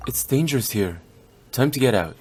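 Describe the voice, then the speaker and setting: A man voicing a stern, terse demon-slaying guardian in a video game, curt and husky. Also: medium pitch, animated, moderate pace, darkish tone, faint music behind. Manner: curt, serious. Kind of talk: scripted video game voice acting.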